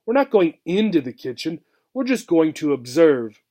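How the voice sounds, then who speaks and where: Man playing a gruff, bossy old mouse. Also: on the high side, big pitch swings, moderate pace, darkish tone. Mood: hushed, stern. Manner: gruff, curt, stern. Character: bossy, scornful, impatient old male mouse.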